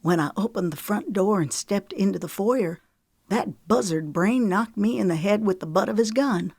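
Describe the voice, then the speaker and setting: A woman voicing a remorseful, protective older man who grumbles gruffly, in an audiobook reading. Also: mid-range voice, normal speed, darkish tone, no room sound, clean studio sound, pitched above the performer's own voice. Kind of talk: audiobook character voice.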